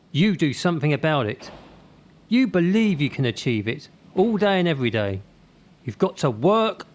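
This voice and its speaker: An actor as a stern, animated male gymnastics coach. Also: a little high, a little hiss or hum, lightly acted. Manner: emphatic, drilling short commands and rhetorical questions. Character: stern adult male gymnastics coach, hard-driving and menacing. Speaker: actor in a radio play. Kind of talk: radio drama acting, motivational tirade.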